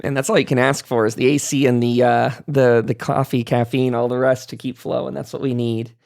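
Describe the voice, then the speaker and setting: A man hosting a gaming livestream round table in a talkative, informal, animated way. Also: mid-range voice, brisk, gravelly.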